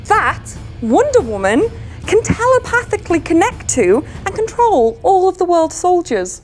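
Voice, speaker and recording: A young woman, co-hosting in casual banter with an excitable, teasing manner. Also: very high-pitched, normal speed, soft background music. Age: young adult. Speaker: co-host of a comics show. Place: small studio set, indoors.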